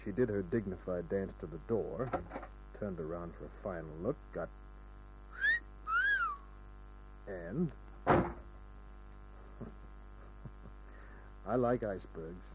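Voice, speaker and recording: An actor playing a wisecracking, world-weary private detective in an old radio crime drama, with dry, sardonic delivery. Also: male voice, deep voice, normal speed, close to an everyday voice. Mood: sardonic, wry. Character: wisecracking hard-boiled male private detective. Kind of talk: 1940s-50s radio crime drama narration and dialogue. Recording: dull old radio recording with music.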